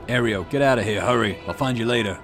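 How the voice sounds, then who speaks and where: A man voicing a gruff, steady police detective boss in a visual novel. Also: medium pitch, fast talker, soft background music, natural voice. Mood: urgent. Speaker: let's player voicing game dialogue. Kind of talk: visual novel dialogue read aloud.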